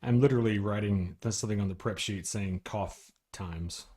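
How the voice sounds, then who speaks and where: A man's voice: fairly low pitch, normal speed, darkish tone. A man producing a podcast adds casual remarks from the other mic. His humor is dry and teasing, and he nitpicks the host's claims. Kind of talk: casual chat.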